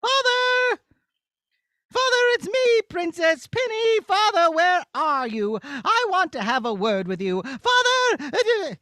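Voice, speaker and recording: A man's voice: very high voice, sing-song, slow, bright, forceful tone, no room sound, studio quality, heavily acted. A man voicing a headstrong, sheltered young princess with a breezy, demanding manner in a children's puppet show. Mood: calling out.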